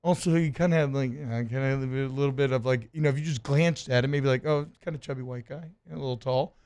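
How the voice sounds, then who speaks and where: A man hosting a sports radio talk show in a studio, animated and casual, with lots of restarts and the odd curse. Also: medium pitch, fast talker, clean tone. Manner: animated, swearing, rambling with restarts.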